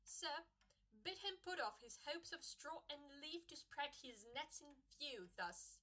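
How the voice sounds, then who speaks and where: A woman playing a proud, quick-witted young wife in an audio drama, speaking formally and theatrically as in early stage comedy. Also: high-pitched, animated, pushed and bright, exaggerated voice. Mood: indignant. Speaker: amateur actor.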